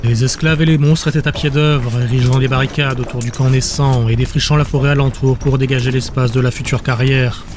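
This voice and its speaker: A man's voice: mid-range voice, steady tone, a little fast, few pauses, background noise. A man reading scripted dramatic fantasy narration as an audiobook narrator.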